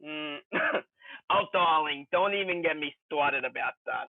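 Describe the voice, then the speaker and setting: Young male podcast co-host, higher-pitched, jokey and sweary, dry phone-like audio. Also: measured pace, dry recording. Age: young adult. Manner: joking, sweary. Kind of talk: casual banter. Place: remote group online call. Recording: thin phone-like call audio.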